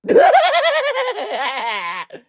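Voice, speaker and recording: A woman voicing a manic, giggling, mocking prankster animatronic in a video game, shrill and childishly teasing. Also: huge pitch swings, extremely slow, no room sound, studio quality, full character voice. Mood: manic glee. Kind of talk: video game character voice lines.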